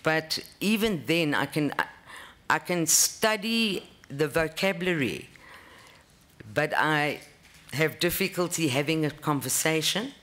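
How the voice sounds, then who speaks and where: Earnest South African woman, measured answers. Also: low voice, expressive, a bit bright. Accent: South African English. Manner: earnest, measured, with frequent ums. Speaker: judicial candidate being interviewed. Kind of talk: formal interview answers. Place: large formal hearing room. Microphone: table microphone.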